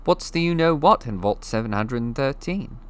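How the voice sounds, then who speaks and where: A man doing a curious young boy, eager, questioning, pitched well above his own voice. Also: animated, moderate pace, clear, resonant tone, natural voice. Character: curious eleven-year-old boy wizard.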